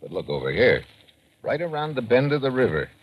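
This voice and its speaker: An actor playing a brisk, tough, wry American investigator in an old radio drama. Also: male voice, medium pitch, sing-song, slightly rough. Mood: probing, urgent. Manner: brisk, informal, clipped. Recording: muffled old radio recording, loud music.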